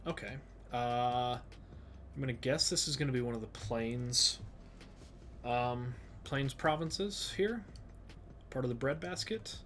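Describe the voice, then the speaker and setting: A man with a stuffed-up nose, thinking aloud slowly with lots of fillers as he streams a geography game. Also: medium pitch, a bit bright, a little hiss or hum. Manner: slow, musing, thinks aloud with many fillers. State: stuffed up, sniffly. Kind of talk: casual live-stream commentary.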